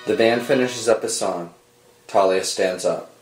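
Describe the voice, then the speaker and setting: A man's voice: on the low side, a little slow. A man narrating scripted screenplay directions at a table read. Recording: roomy echo under dramatic score music.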